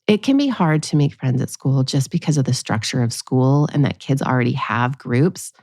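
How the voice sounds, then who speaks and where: A woman's voice: extremely low pitch, expressive, moderate pace, darkish tone, no echo, clean studio sound. A woman hosting a podcast as a pediatrician, delivering scripted narration addressed to parents.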